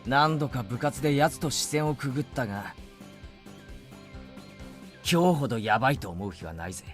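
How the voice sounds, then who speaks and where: A man's voice: medium pitch, animated, quick pace, faint music behind, close to an everyday voice. A man voicing a cocky, hot-blooded teenage schoolboy in a visual novel, in anime game voice-acting style. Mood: cocky, fired up.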